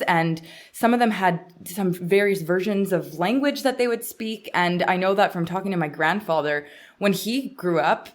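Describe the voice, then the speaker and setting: A woman co-hosting a podcast in a conversational interview style, chatty and thinking aloud. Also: mid-range voice. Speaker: podcast co-host.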